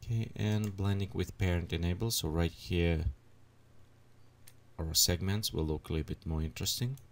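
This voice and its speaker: A man with leading a software tutorial at his computer, thinking aloud as he adjusts settings. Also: deep voice. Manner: unhurried, thinks aloud while clicking through settings. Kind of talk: screen-recorded software tutorial.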